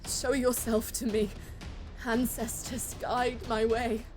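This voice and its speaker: A woman's voice: on the high side, little pitch movement, slow. A woman playing a mystical, driven alien elf seer in an audio drama, speaking with solemn, theatrical intensity. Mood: pleading. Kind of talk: dramatic first-person audio drama narration and dialogue. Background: music.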